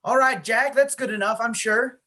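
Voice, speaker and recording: Woman playing a husky, beleaguered small-town official. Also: a little high, normal speed, fairly bright tone. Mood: officious. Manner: folksy, exasperated, officious. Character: beleaguered small-town man running a solemn lottery, defensive traditionalist. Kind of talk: amateur stage-play acting over video call. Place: home, on a video call. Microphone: video call microphone.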